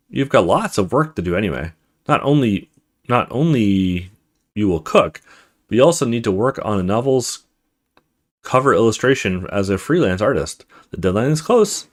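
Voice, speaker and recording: A man's voice: lowish voice, big pitch swings, moderate pace. A man hosting a let's play, reading a horror visual novel aloud in a dramatic storytelling way with casual asides.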